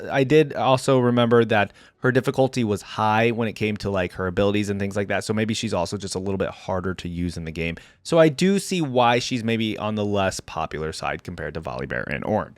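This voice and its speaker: A man's voice: medium pitch, dry recording, studio quality. A man giving casual reaction commentary as the host of an online video, talking informally to his viewers.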